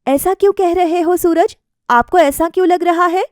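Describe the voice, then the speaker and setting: A self-assured young wife voiced by a woman narrator with a high voice and a dry recording. She is polite yet defiant, reasoning firmly as in a family storybook drama. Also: normal speed, no room sound. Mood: politely firm. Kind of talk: acted dialogue in a family story.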